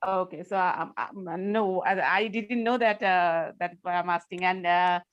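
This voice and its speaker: A woman, a teacher and teacher trainer, asking questions in a halting way with repeated words in an online discussion. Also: lowish voice, no echo, highs cut off. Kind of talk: online round-table discussion.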